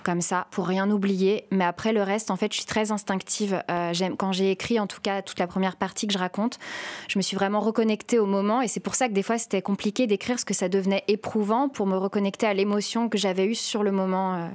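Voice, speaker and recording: A woman's voice: medium pitch, steady tone, brisk, a little mellow. Hesitant young French woman in conversation. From France. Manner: thoughtful, hesitant with many fillers. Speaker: interview guest. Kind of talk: casual interview conversation.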